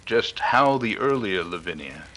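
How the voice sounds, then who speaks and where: A man's voice: fairly low pitch, sing-song, sharp tone, a little hiss or hum, full character voice, rough recording. A man playing a suave detective hosting a broadcast, formal and courteous, in 1930s radio drama style. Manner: formal, courteous, measured phrasing. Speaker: radio interviewer.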